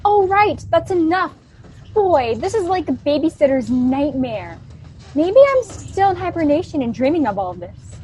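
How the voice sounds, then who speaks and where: A woman's voice: sits high, sing-song, moderate pace, a little mellow. A woman playing a flippant, wisecracking young colonist in a student sci-fi radio play. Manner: flippant, joking.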